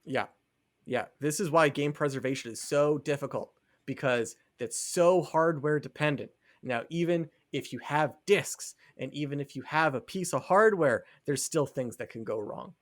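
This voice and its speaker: A man's voice: on the high side, moderate pace. A man co-hosting a podcast, talking casually and explaining his opinions on video games. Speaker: podcast co-host.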